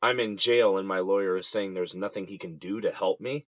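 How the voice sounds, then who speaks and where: A man's voice: low-pitched, fairly even intonation, a little fast, fairly bright tone, no room sound. A man playing an indignant, desperate company boss in jail, in an audio drama. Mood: angry, indignant.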